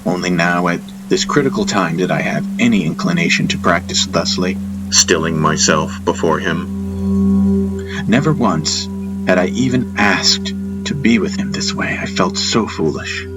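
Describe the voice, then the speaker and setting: A man narrating a first-person fantasy audiobook in a solemn, measured, reflective manner. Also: low-pitched, sing-song, loud music behind the voice, poor audio.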